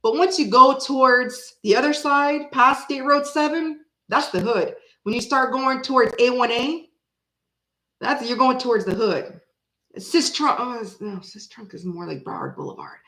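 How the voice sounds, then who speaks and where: A woman in her forties, raised in Florida and bilingual in French, with an American accent. She chats casually with live viewers, rambling and breaking into sudden animated bursts. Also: on the high side, moderate pace, roomy sound, rough recording. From Canada, raised in Florida. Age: 40s. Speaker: tarot reader chatting with live viewers. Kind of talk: casual livestream chat.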